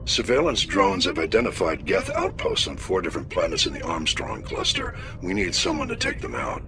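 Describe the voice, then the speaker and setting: An actor playing a gruff older admiral in a video game, giving clipped, authoritative military briefings. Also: male voice, low-pitched, wildly swooping pitch, a little fast, noisy background. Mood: stern, businesslike. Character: gruff, commanding older male navy admiral. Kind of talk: video game dialogue acting.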